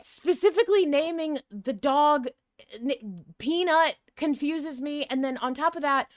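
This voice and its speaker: A woman podcast co-host in casual, unscripted debate, speaking in an animated, blunt and argumentative way. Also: sits high, a little slow, dry recording, clean studio sound.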